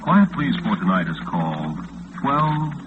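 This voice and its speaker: Formal, measured male announcer for 1940s radio, thin phone-like recording with prominent music underneath. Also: on the low side, sing-song. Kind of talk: 1940s radio program introduction. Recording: narrow-band vintage radio recording. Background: loud music.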